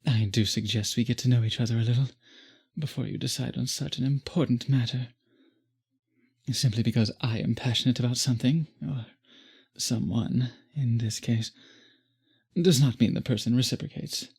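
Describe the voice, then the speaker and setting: A man voicing a courtly, possessive vampire in an intimate roleplay audio, with formal, florid, beseeching speech and a breathy voice. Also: medium pitch, fairly even intonation, normal speed, darkish tone. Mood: restrained, courteous. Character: courtly, obsessive, possessive male vampire pleading with the listener.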